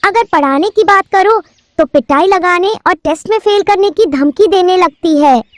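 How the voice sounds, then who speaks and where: A woman's voice: very high-pitched, normal speed, dry recording, voice pushed up. A woman voicing a timid young boy bird student in a cartoon, in a childlike, eager manner. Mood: complaining. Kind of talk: cartoon voice acting.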